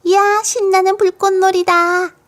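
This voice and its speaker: Woman playing a high-voiced, bold little girl. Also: flat delivery, unhurried. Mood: excited shouting. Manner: exclaiming, playful, confident. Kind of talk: children's storybook character voice.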